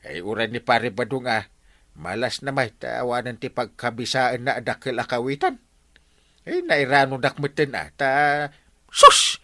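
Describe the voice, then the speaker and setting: A man's voice: medium pitch, very animated, unhurried, bright, forceful tone, no echo, heavily acted. An actor playing a village man who teases his angry friend and keeps laughing, in a radio comedy drama. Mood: teasing. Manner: teasing, laughs a lot. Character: chuckling male friend of the angry husband.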